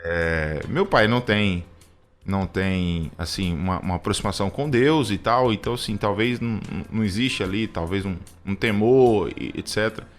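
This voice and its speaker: A Brazilian man in his thirties giving personal testimony in conversational talk, reflective and halting, often repeating words as he goes. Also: lowish voice, soft background music. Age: 30s. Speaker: radio show co-host.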